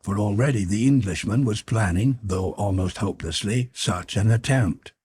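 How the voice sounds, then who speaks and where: A man reading a novel as an audiobook narrator, in measured, formal storytelling style. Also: on the low side, a little mellow, husky, no echo, clean studio sound. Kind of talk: scripted literary narration.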